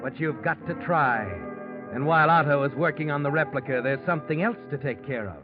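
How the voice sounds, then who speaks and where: A muffled old radio recording with loud music behind a sinister, knowing male narrator played by an actor. His voice sits fairly high, and he addresses the guilty man with haunting, deliberate drama. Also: lightly acted. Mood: knowing, taunting. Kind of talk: 1940s radio mystery narration in second person.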